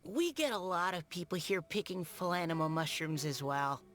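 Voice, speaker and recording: A woman's voice: lowish voice, lively intonation, moderate pace, faint music behind, lightly acted. A woman voicing a polite, eager and curious outdoor surveyor in a video game. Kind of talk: video game character acting.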